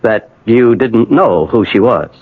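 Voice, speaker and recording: An actor playing a brisk, bossy doctor, blunt and authoritative, in a radio drama. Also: male voice, lowish voice, animated, husky. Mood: probing. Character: brisk, bossy male doctor and old friend. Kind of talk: 1980 radio drama acting. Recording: muffled old radio recording.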